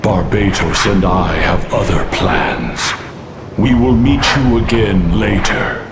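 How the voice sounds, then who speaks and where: A man's voice: sits low, takes it slowly, reverberant, very noisy. A man voicing a solemn, stately ancient dragon in a fantasy video game, speaking formally. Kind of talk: dramatic game acting.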